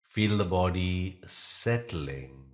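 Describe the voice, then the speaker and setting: A man leading a guided yoga nidra meditation in a gentle, soothing and measured manner, leaving pauses between phrases. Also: low voice, animated.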